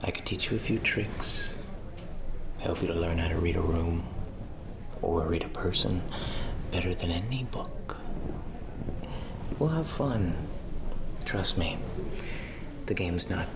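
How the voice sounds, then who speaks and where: A man playing a suave, teasing aristocratic vampire in a soft-spoken ASMR roleplay, with a smooth, insinuating manner. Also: low-pitched, background noise. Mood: conspiratorial, seductive. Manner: smooth, insinuating, unhurried, mysterious.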